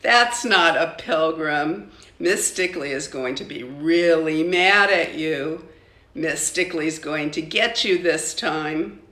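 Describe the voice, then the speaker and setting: Woman putting on a taunting, spiteful schoolgirl, bright voice. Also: low voice, takes it slowly, echoey room, heavily acted. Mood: mocking. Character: mocking, spiteful schoolgirl in a children's storybook.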